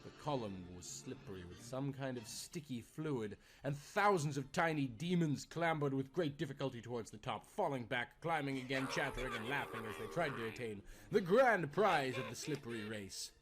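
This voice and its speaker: A man's voice: medium pitch, animated, moderate pace, hardly any pauses. A man reading a scripted tale as a theatrical, dramatic storyteller. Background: music.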